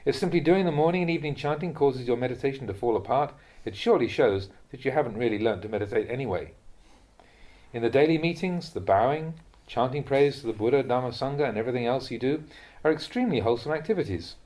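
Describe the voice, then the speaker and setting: A man reading a translated Buddhist talk aloud as a monk would teach, in a measured, instructive manner. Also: mid-range voice, animated, a little mellow.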